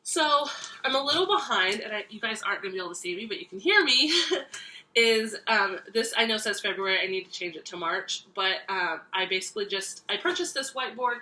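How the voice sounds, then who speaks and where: A woman's voice: medium pitch, lively intonation, brisk, sharp tone, low-quality recording. A young woman in her twenties, congested from a cold, chatting casually to camera about parenting, with many ums and restarts. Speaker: parenting vlogger.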